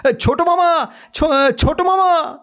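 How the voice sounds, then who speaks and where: Man voicing a frightened, high-pitched young nephew. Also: slow pace, exaggerated voice. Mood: frightened calling. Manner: shouting, pleading. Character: frightened young nephew calling out to his uncle.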